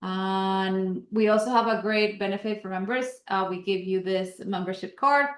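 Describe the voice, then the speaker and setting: A woman with a Colombian accent runs through announcements for her members, chatty and upbeat and full of little ums and uhs. Her voice sits at an ordinary middle pitch for a woman. The sound has a roomy echo around it. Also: fairly even intonation, moderate pace. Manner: casual, filler-heavy, upbeat promoting. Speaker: investor association host. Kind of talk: announcements at an online meeting.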